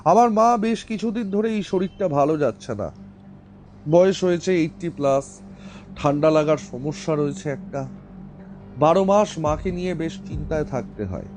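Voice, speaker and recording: Man playing a higher-voiced, worried scientist. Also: lively intonation, moderate pace, darkish tone, a little hiss or hum, lightly acted. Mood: worried, recounting. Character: worried, devoted middle-aged male scientist, family man. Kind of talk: audio drama dialogue.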